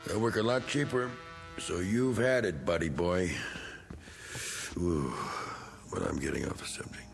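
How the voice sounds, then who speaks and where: An actor playing a self-pitying, bigoted middle-aged war veteran who drinks, rambling in folksy slang in a 1960s television drama. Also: male voice, low-pitched, measured pace, creaky, soft background music. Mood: defensive, bitter.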